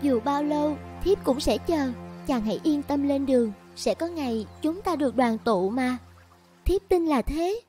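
A woman's voice: high-pitched, expressive, unhurried, mellow, faint music behind. A woman voicing a devoted, stubborn young village woman in love in a cartoon, earnest and pleading. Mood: earnest, reassuring. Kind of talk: cartoon voice acting.

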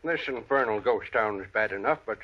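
An actor playing a superstitious, fretful old American cowboy sidekick in a radio western, folksy, stammering and flustered. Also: male voice, on the low side, fairly quick, natural voice. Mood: nervous. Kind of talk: 1940s-50s radio western acting. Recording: dull, noisy vintage radio recording.

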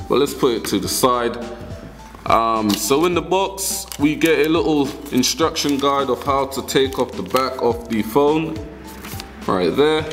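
Chatty young man hosting a casual unboxing. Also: medium pitch. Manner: chatty, addresses viewers as guys. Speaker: tech channel host. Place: indoor room at a wooden table.

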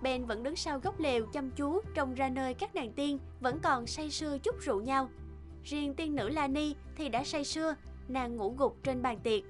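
A woman with a very high voice narrates a fairy tale from a script. Music plays clearly beneath her storytelling. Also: moderate pace.

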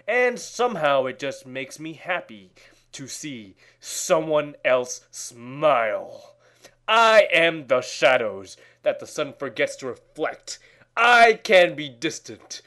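A man with a fairly high voice performs a mock-dramatic reading. His pitch swings widely and his pace is very slow, full of theatrical pauses. He moves between hushed whispering and loud, overwrought declamation.

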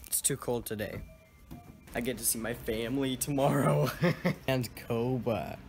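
A teenage boy filming his own vlog on a handheld phone, talking casually, joking and shouting now and then. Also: mid-range voice, a little slow, vocal fry, music in the background. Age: teens. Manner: excitable, jokey, shouts and laughs a lot. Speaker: vlogger. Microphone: handheld phone camera. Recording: outdoor noise behind a phone camera.

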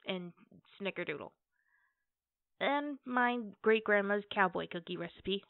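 A woman playing an affectionate, playful girlfriend in a casual, conversational ASMR roleplay, with hesitant pauses. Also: medium pitch, animated, creaky, dry recording. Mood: curious, thoughtful. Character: affectionate, playful girlfriend baking cookies at home. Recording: tinny, phone-like band-limited audio.

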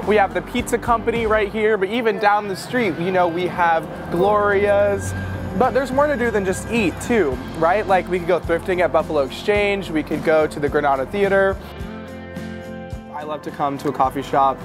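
A man's voice: sits high, normal speed. A young man in his early twenties from Texas, talking in an enthusiastic, friendly way as a student co-host of a casual vlog. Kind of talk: upbeat promotional vlog, casual chat. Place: outdoors on streets and cafe patios. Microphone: clip-on lapel mic. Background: background music.

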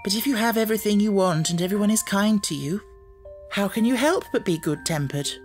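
A man's voice: high-pitched, a little fast, soft background music, voice pushed up, natural voice. A man voicing an earnest, imaginative, brave little schoolgirl in a storybook, speaking reflectively. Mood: earnest, musing.

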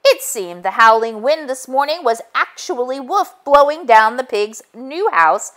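A woman's voice: high-pitched, animated, measured pace, a bit bright, pitched above the performer's own voice. Woman as an earnest, wisecracking storybook egg detective. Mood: explaining.

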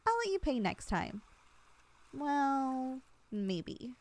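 Soft-spoken young woman in ASMR roleplay. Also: high voice, big pitch swings, drawn-out words. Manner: soft, affectionate, teasing. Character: loving long-distance girlfriend, young woman, teasing and tender.

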